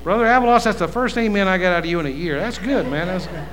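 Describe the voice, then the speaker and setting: A man in his fifties from West Virginia, preaching a sermon in a folksy, animated way with asides to the congregation. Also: sits high, fast talker, low-quality recording. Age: 50s. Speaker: pastor.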